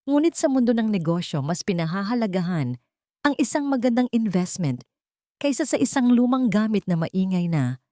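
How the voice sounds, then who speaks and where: A woman's voice: mid-range voice, warm tone, dry recording, clean studio sound, pitched above the performer's own voice, lightly acted. A woman voicing a cold, mocking, vengeful woman in an audiobook melodrama, taunting with elegant distance. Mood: cutting, composed.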